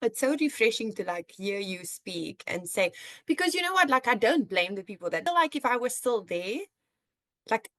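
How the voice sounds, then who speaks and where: Young South African woman, podcast host. Higher-pitched, dry sound, casual and chatty. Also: sing-song, normal speed, a bit bright, no echo. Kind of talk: casual chat with a friend.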